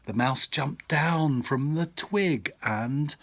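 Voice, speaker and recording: A man's voice: fairly high pitch, slow, a bit bright. A man reading a children's storybook aloud as a father would, with dramatic, suspenseful, rhythmic delivery.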